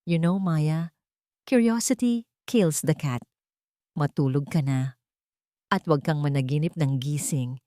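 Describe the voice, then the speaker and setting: A woman's voice: low-pitched, takes it slowly, pauses often, warm tone, dry recording. Woman playing a scheming rich girlfriend, sugary, cutting, suspicious, audio drama villain, dry close sound. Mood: veiled threat. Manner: sugary but cutting, switching into English.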